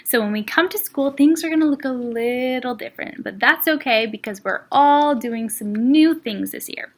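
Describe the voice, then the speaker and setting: A woman with a fairly high voice speaks as an elementary school teacher to young children. She keeps her phrasing simple and reassuring, with expressive delivery suited to a read-aloud. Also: normal speed.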